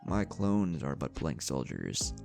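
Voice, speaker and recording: An obedient plant-like creature, voiced by a male narrator in an anime fan story. It speaks matter-of-factly in a very low, creaky voice with faint music behind. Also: very animated, slow pace, darkish tone, heavily acted.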